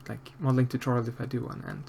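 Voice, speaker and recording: A man's voice: medium pitch, huge pitch swings, rapid-fire, creaky, a little hiss or hum. A man from Austria with an Austrian German accent, chatting hesitantly with viewers of his live stream, full of fillers and restarts. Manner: hesitant, many fillers and restarts, soft-spoken. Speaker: streamer answering chat while sculpting. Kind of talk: casual live-stream chat.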